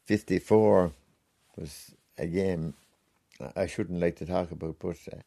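Elderly man in his eighties, rural Wexford Irish accent, low creaky voice, reminiscing interviewee. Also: steady tone, unhurried, mellow. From County Wexford, Ireland. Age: 80s. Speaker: former hurler being interviewed.

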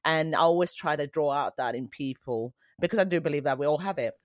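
A woman's voice: low-pitched, a little fast, dry recording, clean studio sound. A woman in her forties who grew up in Sweden, a dance teacher answering interview questions in an earnest, reflective way.

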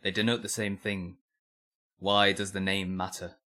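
Man playing defiant young zealot, low and sharp. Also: flat delivery, unhurried. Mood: cold, defiant. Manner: defiant, terse, bitterly sardonic. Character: defiant, zealous nineteen-year-old male blacksmith's apprentice under interrogation. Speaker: voice actor in an audio drama. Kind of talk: dramatic interrogation dialogue.

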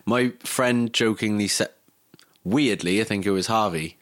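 Young man, very low pitch, dry sound, casual interview guest, informal and sweary. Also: measured pace, fairly bright tone, dry recording. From Cardiff, Wales, born in Greece. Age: young adult. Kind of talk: casual chat, personal recollection.

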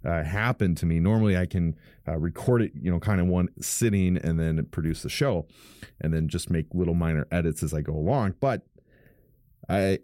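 Deep-voiced pastor hosting a podcast. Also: male voice, mellow, no room sound, studio quality. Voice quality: fairly deep, smooth voice. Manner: rambling, frank, self-correcting with many restarts. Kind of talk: casual podcast monologue. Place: home studio. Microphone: studio microphone.

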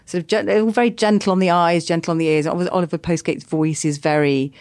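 A woman's voice: fairly low pitch, steady tone, quick pace, darkish tone, dry recording. A British woman hosting a podcast, chatty and upbeat, poking fun at herself. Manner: chatty, upbeat, self-deprecating. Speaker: podcast host. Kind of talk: casual chat.

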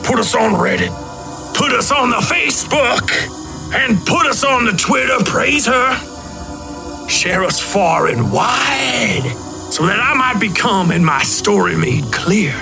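Fired up preacher, slow drawn out chanting, gravelly creak, music under him. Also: male voice, very high-pitched, lively intonation, heavily acted. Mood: fervent, exhorting. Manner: bombastic, drawn-out, chanting exhortation. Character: fervent male revival preacher spreading his gospel. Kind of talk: theatrical sermon parody promo.

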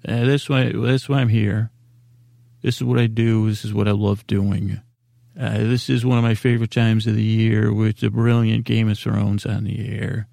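A man hosting a sleep podcast, rambling in a meandering, halting way with a goofy, self-deprecating streak. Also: on the low side, level tone, gravelly, warm tone, no echo, studio quality. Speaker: podcast host.

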